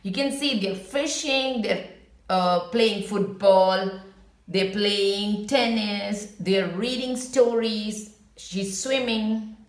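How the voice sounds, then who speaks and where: A woman reading a children's picture book aloud in an expressive storytelling style, with short asides to young listeners. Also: medium pitch, takes it slowly, reverberant.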